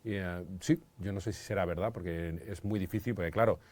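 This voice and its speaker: A man hosting a casual podcast as a Spanish teacher, asking lively, curious questions. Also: low voice, brisk.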